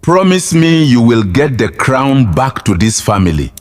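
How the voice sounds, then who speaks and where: A man's voice: medium pitch, big pitch swings, unhurried, soft background music. An actor playing a stern, proud father and family head in a village folktale. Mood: pleading.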